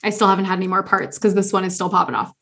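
A woman co-hosting a podcast in a casual chat, animated, laughing often and talking with many fillers. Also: mid-range voice, racing. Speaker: podcast co-host.

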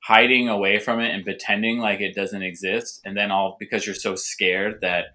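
A man's voice: on the low side, flat delivery, moderate pace, pushed and bright. A man with a stutter, a winemaker guest talking in a casual podcast interview, hesitant, with restarts and repeated words.

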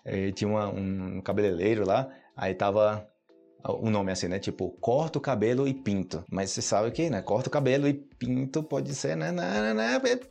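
A man's voice: on the low side, moderate pace, gravelly, darkish tone, faint music behind. A Brazilian man hosting a podcast that teaches Portuguese, talking casually and checking in with his listeners often. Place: indoors, hot room.

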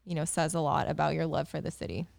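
A woman hosting a casual podcast chat, warm and praising toward her guest and laughing along. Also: deep voice, steady tone, quick pace, vocal fry, no echo, clean studio sound. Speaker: host.

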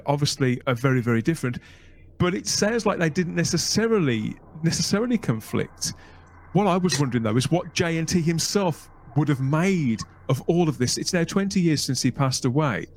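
A man with a slightly high voice hosts a podcast interview. He talks in long, wordy sentences, doubling back on phrases as he frames questions for his guests. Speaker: podcast host.

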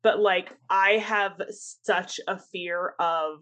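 A woman's voice: fairly low pitch, steady tone, takes it slowly, fairly bright tone. A young woman co-hosting a casual chat, telling stories with lots of laughter and filler words. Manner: chatty, storytelling, laughs often. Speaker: co-host.

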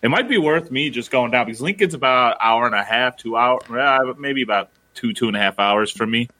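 Bright, jokey male podcast co-host. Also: mid-range voice, fairly quick. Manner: joking, rambling, self-mocking. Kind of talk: casual chat.

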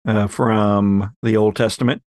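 A man talking casually as a podcast game master looking back, halting as he thinks aloud. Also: lowish voice, level tone, a little mellow, dry recording, clean studio sound. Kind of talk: casual retrospective chat.

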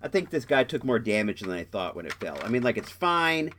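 A sick young man with a congested, stuffed-up voice, chatting casually as he unboxes a toy. His pitch swings up and down a lot, and he rambles and restarts his sentences with a joking tone. A faint noise sits behind him. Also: sing-song, fairly quick, a bit bright. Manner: rambling, jokey, self-interrupting. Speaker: podcast host.

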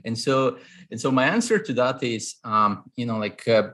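A man's voice: mid-range voice, lively intonation, moderate pace, fairly bright tone. A man in his thirties with a Bulgarian accent, an investor answering interview questions analytically, with many fillers and restarts. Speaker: guest, real estate investor.

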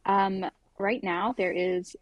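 A woman's voice: mid-range voice, steady tone, slow pace, no room sound, dull audio. A woman co-hosting a podcast in casual, rambling chat, talking over a basic home setup on a video call. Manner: bubbly, rambling, says "like" and "literally" a lot. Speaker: podcast co-host.

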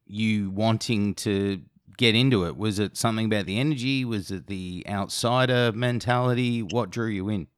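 A man's voice: lowish voice, moderate pace, few pauses, smooth, dry recording, clean studio sound. A man hosting a music podcast, giving upbeat plugs and interviewing a guest with enthusiasm. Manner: upbeat promo delivery, enthusiastic. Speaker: podcast host.

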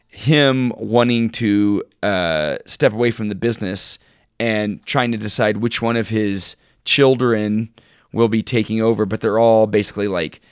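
A man co-hosting a podcast, chatting casually in an informal, halting way and sounding enthusiastic about his favorites. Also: on the low side, clean tone, dry recording, studio quality. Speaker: podcast co-host. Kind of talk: casual chat, recommending shows and books.

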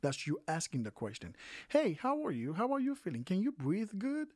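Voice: mid-range voice, huge pitch swings, normal speed, darkish tone, no room sound, clean studio sound. A language teacher giving an informal lesson, repeating each phrase several times, with some hesitation and self-correction.

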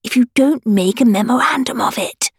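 A woman voicing a haughty, sharp-tongued Queen in a children's storybook, imperious and brisk. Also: a little high, slightly rough, no echo, studio quality, voice pushed up. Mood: scolding. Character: haughty, sharp-tongued chess Queen, scolding her husband.

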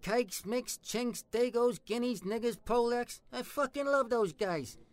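A man's voice: extremely high pitch, a little slow. An actor playing a foul-mouthed, bigoted Italian-American tough guy with a New York accent, brash and streetwise in a comic dialogue. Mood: cocky. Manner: brash, profane, streetwise slangy talk.

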